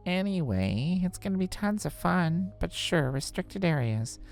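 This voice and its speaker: An upbeat schoolgirl party host, played by a woman in a visual novel. Her voice sits a little low as she casually addresses her classmates, with faint music behind. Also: expressive, measured pace, vocal fry, darkish tone. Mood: excited.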